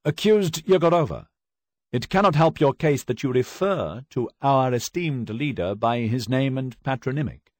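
A man's voice: medium pitch, lively intonation, normal speed, no echo, clean studio sound, voice pushed up, close to an everyday voice. Man doing a curt stern Soviet judge. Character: strict male Soviet lead judge.

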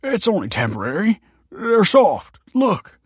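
A man voicing a whiny, hapless, scrawny deadbeat foster father who moans and mumbles defensive excuses in a strained, husky voice. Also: high-pitched, wildly swooping pitch, a little slow, no echo, studio quality, voice pushed up, exaggerated voice. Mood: sheepish.

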